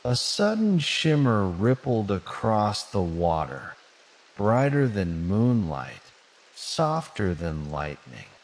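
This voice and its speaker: A man narrating a soothing bedtime story in a gentle, measured, dramatic storybook manner. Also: lowish voice, big pitch swings. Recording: long cavernous reverb.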